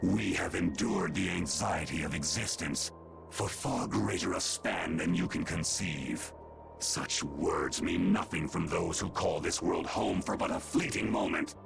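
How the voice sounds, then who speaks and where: Casting: ancient nonhuman judge for a game, very gravelly, low, stern and archaic, music underneath. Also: male voice, unhurried, full character voice. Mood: cold resolve. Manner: formal, solemn, archaic phrasing. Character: ancient, stern, imperious great creature judging humans.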